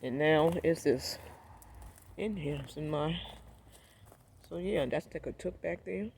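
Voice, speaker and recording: A man with a raspy, hoarse voice from a cold, giving a laid-back, rambling walkthrough of his backyard garden and talking straight to his viewers. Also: fairly high pitch, unhurried, darkish tone, a little hiss or hum. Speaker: gardening vlogger. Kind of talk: casual walkthrough vlog.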